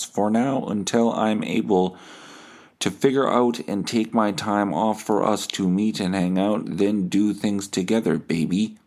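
A man's voice: lowish voice, darkish tone. Man reading as a doting middle-aged sugar mommy. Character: forty-nine-year-old woman, doting, possessive online sugar mommy.

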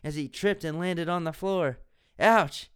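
A young man reads a cheesy children's mystery aloud with mock drama, throwing in sarcastic asides. His voice sits a bit high for a man and comes through smooth and clear. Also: measured pace, a bit bright. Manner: sarcastic, laughing at the text. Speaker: reader of a children's mystery book.

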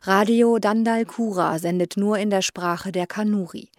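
A woman reads a radio news report from a script in a steady, clear manner. Her voice sits at a middle pitch and sounds dry and close to the mic. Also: moderate pace, dry recording.

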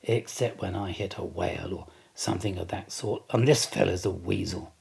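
A man's voice: low voice, normal speed, vocal fry, a little mellow, husky, exaggerated voice. A man voicing a sarcastic, indignant second mate of a whaling ship in a theatrical, emphatic reading of a classic novel. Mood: blustering, scolding.

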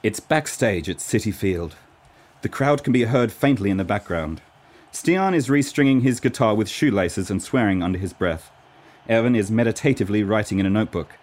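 A man's voice: on the low side, expressive, normal speed, a little mellow. A man from Norway giving scripted narration for a radio play. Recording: clear background noise.

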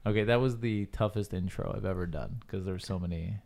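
A man's voice: low-pitched, a little fast, gravelly, darkish tone. A man hosting a podcast, reading an intro and then chatting in a joking, casual way. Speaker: podcast host.

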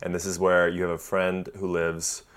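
A woman hosting an interview, asking quick questions and giving brief prompts. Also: rumbling low, little pitch movement. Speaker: interview host. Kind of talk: casual interview.

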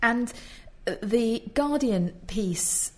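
A woman's voice: on the high side, animated, extremely slow, darkish tone. A woman hosting a discussion podcast, sounding nasal from a head cold and talking conversationally with fillers. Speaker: podcast host. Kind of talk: casual discussion.

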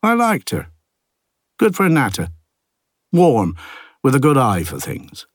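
A husky, unhurried older artist. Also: male voice, medium pitch, huge pitch swings, no room sound, clean studio sound. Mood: fond, wry. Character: ageing village artist Pete, plain-spoken, gruff, reflective loner. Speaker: audiobook narrator. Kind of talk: first-person literary audiobook narration.

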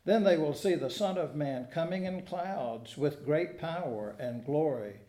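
A man delivers a sermon at an unhurried, very slow pace. His voice is fairly high for a man and mellow in tone, with measured, deliberate phrasing. The sound is roomy, with clear echo around the words. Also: expressive. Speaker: preacher at a church service. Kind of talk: sermon with scripture reading. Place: indoors in a church. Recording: roomy, echoing church sound.

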